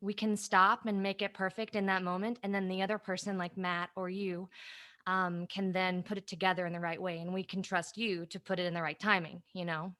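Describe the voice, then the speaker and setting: A woman's voice: fairly low pitch, little pitch movement, brisk, a bit bright. A woman, an actress, chatting casually as a guest on an online panel. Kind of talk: casual panel chat over a video call.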